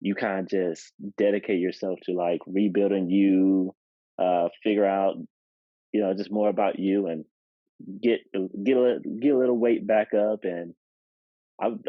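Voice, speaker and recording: A man with a low voice talks casually as a podcast co-host, reflective and hesitant with many fillers. The recording is dry and a little muffled. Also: fairly even intonation, a little slow, no echo. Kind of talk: casual chat. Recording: dry, slightly dull podcast audio.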